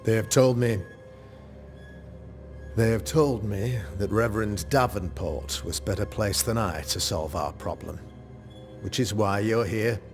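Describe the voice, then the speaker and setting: A man playing a pious, grave colonial demonologist in a video game, speaking in a formal, measured, rhetorical way. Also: fairly low pitch, soft background music. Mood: earnest, pompous. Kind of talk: dramatic video game cutscene acting.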